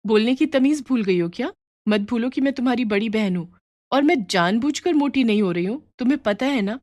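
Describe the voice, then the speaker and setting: A woman's voice: a little high, expressive, brisk, a little mellow, dry recording, studio quality, close to an everyday voice. A woman voicing a touchy, offended elder sister who scolds defensively in a moral story. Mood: offended, angry.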